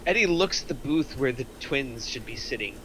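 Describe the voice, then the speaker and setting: A man's voice: medium pitch, animated, moderate pace, pushed and bright, a little hiss or hum, rough recording. A man reading scripted stage directions aloud in narration at a screenplay table reading.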